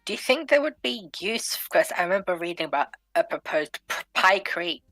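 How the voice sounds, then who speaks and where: Low-voiced woman in casual discussion. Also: big pitch swings, normal speed, a bit bright, no room sound, faint music behind. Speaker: panelist.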